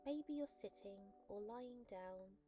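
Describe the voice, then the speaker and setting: A woman narrating a guided sleep meditation in a calm, gentle, unhurried and soothing manner. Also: medium pitch. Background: soft music.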